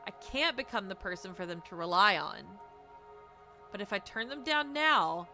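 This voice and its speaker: A young woman streamer reading a visual novel aloud expressively, with dramatic, casual, animated asides. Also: mid-range voice.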